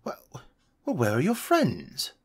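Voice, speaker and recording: Man voicing a husky, flustered young elf guard. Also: medium pitch, wildly swooping pitch, takes it slowly, heavily acted. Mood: petulant, baffled. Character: young male elf guard, self-important but easily flustered. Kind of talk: audio roleplay drama.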